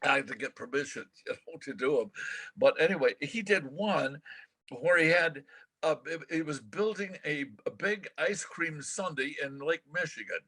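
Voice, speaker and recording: An older American man with a husky voice, reminiscing casually as a veteran broadcaster in a halting, rambling, animated way. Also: a little high. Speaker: veteran radio broadcaster, guest. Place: remote video call.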